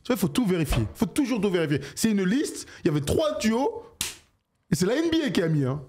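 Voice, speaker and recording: A man hosting a basketball call-in show, talking quickly and getting worked up in jokey banter. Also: high voice, a little hiss or hum. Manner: excitable, rapid, jokey. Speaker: radio show host.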